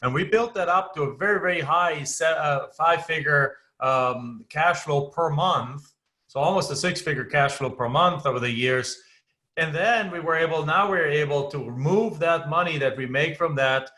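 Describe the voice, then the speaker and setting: Man, German accent, forties, crisp bright tone, chatty with restarts. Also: fairly high pitch, moderate pace. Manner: talkative, frequent restarts and fillers. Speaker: guest investor. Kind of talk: casual podcast interview.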